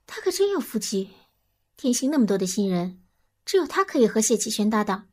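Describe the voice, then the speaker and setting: A woman's voice: a little high, sing-song, brisk. An actress in a Mandarin audio drama playing a bubbly, gushing young female talent agent.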